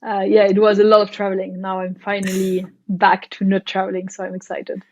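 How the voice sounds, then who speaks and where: A woman's voice: mid-range voice, monotone, brisk, darkish tone, lo-fi sound, slight background noise. A woman from Belgium, a startup founder, talking as a podcast guest, thoughtful and hesitant, with many fillers. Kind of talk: casual interview chat.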